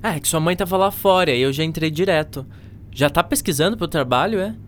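A woman's voice: low-pitched, a little fast, slight background noise, lightly acted. A woman playing a joking, laid-back teenage schoolboy with a Brazilian accent and slangy talk in an educational radio drama. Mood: teasing, laid-back. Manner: casual, slangy, teasing.

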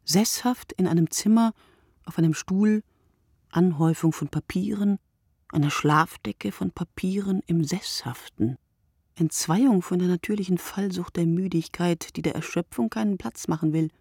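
Sleepless woman narrator, husky, gravelly, reflective, measured phrasing, literary radio play. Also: fairly low pitch, mellow, dry recording, studio quality. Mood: weary, reflective. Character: sleepless woman musing in a literary night monologue. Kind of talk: scripted literary radio monologue.

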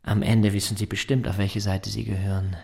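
An actor in a German radio drama playing a pedantic, insistent neighbour who needles and slyly presses for money. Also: male voice, on the low side, flat delivery, brisk, creaky, a little mellow, husky. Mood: pressing, sly.

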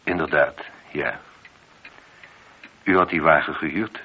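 An actor playing a stern, probing police inspector in a radio play, speaking with insistent authority. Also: male voice, extremely low pitch, takes it slowly, breathy edge, exaggerated voice. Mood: pressing, accusing. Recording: dull, noisy old radio recording.

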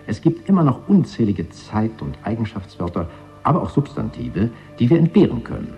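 Radio play: zealous linguist, fairly low, highly animated pitch, pedantic lecturing; dull recording. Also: male voice. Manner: lecturing, emphatic, self-satisfied. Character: zealous, pedantic male linguist, fanatical party believer. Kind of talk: German radio play acting. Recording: dull radio production with music.

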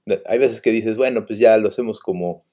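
A man's voice: on the low side, brisk, mellow. A man hosting a radio show in casual, rambling talk, full of hesitations and fillers. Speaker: radio host.

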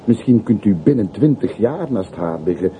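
An actor playing a gentle, melancholy old railway porter in a radio play, speaking in measured, earnest phrases. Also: male voice, on the low side, expressive, lightly acted. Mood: pleading. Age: older. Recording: slightly noisy old radio recording.

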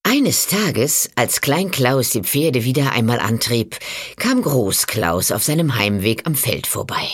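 A woman narrating a fairy tale from a script in an expressive storytelling manner. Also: very deep voice, unhurried, breathy edge.

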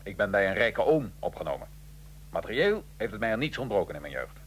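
A man playing a condemned Italian revolutionary in a radio drama, recounting his life in a measured, dry, ironic way. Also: on the low side, very animated, fairly bright tone, breathy edge, exaggerated voice. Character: Italian male revolutionary prisoner, condemned, dryly matter-of-fact. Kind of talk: radio drama acting, interview-style storytelling.

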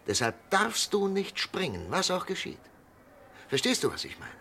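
An actor playing a desperate, compassionate doctor who pleads intensely in a 1960s German radio drama. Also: male voice, sits high, huge pitch swings, moderate pace, a little hiss or hum, exaggerated voice. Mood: urgent pleading. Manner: imploring, intense, swinging from whispers to shouts. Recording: 1960s mono radio play.

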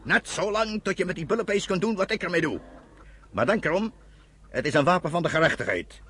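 A man's voice: sits high, expressive, fairly quick, fairly bright tone, breathy edge, heavily acted. An actor playing a stern, proud farmer father in a Dutch radio drama, speaking in an emphatic, commanding way. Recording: noisy old radio play recording.

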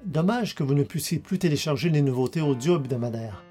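A man's voice: fairly high pitch, lively intonation, fairly quick, breathy edge. A man hosting a podcast, reading letters and replies in a courteous, formal way. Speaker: podcast host reading listener mail. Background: soft guitar music.

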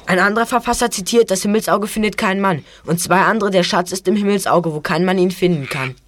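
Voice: medium pitch, fast, lightly acted. An actor playing an eager, studious teenage boy detective in an audio drama. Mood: informative. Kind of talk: hobby audio drama acting.

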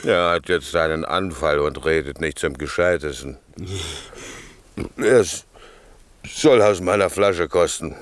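An actor playing a drunken, swaggering servant in a 1960s radio play, boozy, bossy and blustering. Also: male voice, a bass voice, dry recording. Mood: tipsy musing. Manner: boozy, bossy, drawn-out delivery.

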